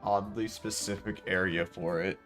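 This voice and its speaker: A man's voice: sits low, steady tone, moderate pace, a bit bright, faint music behind. A man livestreaming a game with casual, offhand commentary and muttered asides. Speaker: game streamer.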